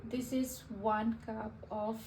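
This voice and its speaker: A young woman from Indonesia walks through a home recipe slowly and plainly, in a friendly, step-by-step manner. Her voice sits a little high, and she is some way off the mic. Frying and sizzling can be heard clearly behind her in the kitchen. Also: level tone, dark tone. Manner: friendly, explains step by step with small laughs. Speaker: cooking vlogger. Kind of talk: casual cooking tutorial. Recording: distant mic, audible sizzling kitchen noise.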